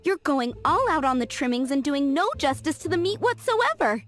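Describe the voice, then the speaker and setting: A woman's voice: high-pitched, very animated, normal speed, soft background music. A woman voicing a proud female chef who sticks to tradition, speaking in a haughty, sharply critical way. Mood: scornful. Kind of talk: video game dub acting.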